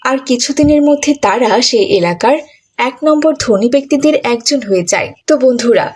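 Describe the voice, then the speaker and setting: Husky, higher-pitched woman storyteller. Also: lively intonation, brisk, a little mellow. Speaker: narrator. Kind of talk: scripted children's story narration.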